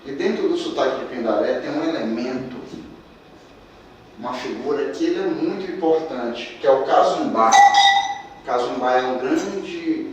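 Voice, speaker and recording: A man's voice: mid-range voice, normal speed, background noise. A man teaching a percussion workshop, counting beats aloud as he demonstrates drums. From Maranhão, Brazil. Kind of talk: instructional demonstration. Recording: echoey room, mic at a distance. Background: percussion instruments being played.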